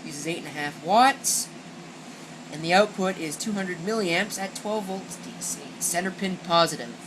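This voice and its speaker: A woman's voice: on the low side, moderate pace. A woman giving a casual, unscripted product walkthrough, rambling and matter-of-fact as she reads out packaging and corrects herself. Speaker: gadget reviewer showing an unboxing. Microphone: built-in camera microphone. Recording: steady background hum, amateur camera audio.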